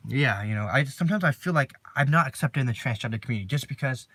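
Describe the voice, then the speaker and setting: A deep-voiced man talking casually to the camera in a personal vlog, rambling and filling his talk with constant "you know"s and repeated phrases. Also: expressive, quick pace, fairly bright tone, husky, dry recording. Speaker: vlogger.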